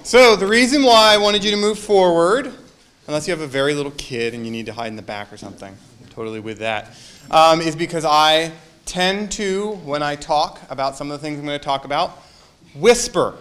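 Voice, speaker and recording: Male minister, fairly high voice, chatty sermon with jokes and audience quizzes. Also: expressive, moderate pace, a bit bright. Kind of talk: casual sermon to a congregation.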